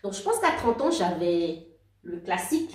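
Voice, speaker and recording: A woman's voice: mid-range voice, very animated. Distant, roomy woman in her forties. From outside Belgium. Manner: warm, halting, many restarts and laughs. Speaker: guest. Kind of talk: casual interview talk.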